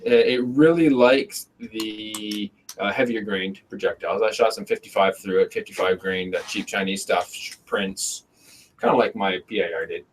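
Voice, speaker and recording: A man from Canada co-hosting a casual podcast, talking in a relaxed, rambling, joking way in a small room. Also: lowish voice, moderate pace, a little hiss or hum, rough recording. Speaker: podcast co-host. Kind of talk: casual chat about shooting. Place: small bedroom, indoors.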